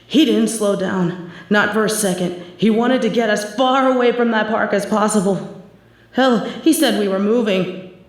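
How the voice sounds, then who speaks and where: A young adult woman performing a scripted horror narration, telling the story in a hushed, tense manner. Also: mid-range voice, normal speed. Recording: very echoey room.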